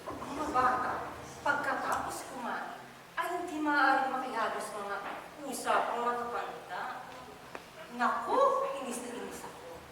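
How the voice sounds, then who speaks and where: A woman's voice: fairly high pitch, normal speed, natural voice. Woman acting blunt Filipina senior, echoey hall. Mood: wistful, cheeky. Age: older. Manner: theatrical, scolding, switching between Tagalog and English. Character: blunt, cheeky senior woman, bitter about men. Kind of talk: stage play acting. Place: community hall stage. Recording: echoey hall, far mic, noisy.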